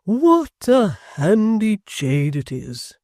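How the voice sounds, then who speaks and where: A reader voicing a gentle, kindly middle-aged locksmith in a storybook reading, speaking earnestly. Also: male voice, high-pitched, wildly swooping pitch, drawn-out words, no room sound, clean studio sound, pitched above the performer's own voice, exaggerated voice.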